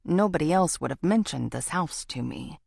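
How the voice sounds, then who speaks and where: A woman's voice: sits low, lively intonation, normal speed, vocal fry, darkish tone, dry recording, clean studio sound. A woman narrating a first-person thriller audiobook from a script.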